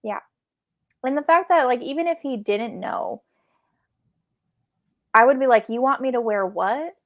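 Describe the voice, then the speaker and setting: A woman's voice: on the high side, measured pace, highs cut off. A woman co-hosting a casual book chat, agreeing along in short, conversational bursts. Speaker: co-host of a book readalong live discussion.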